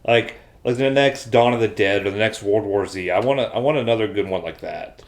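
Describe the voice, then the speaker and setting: Lower voiced guy on a podcast, casual, says what he thinks pretty matter of fact. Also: a little fast. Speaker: podcast co-host. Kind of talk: casual chat.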